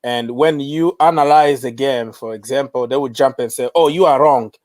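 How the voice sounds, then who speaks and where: A man's voice: medium pitch, normal speed, darkish tone, dry recording. A man hosting a casual football fan discussion, giving his opinions with feeling.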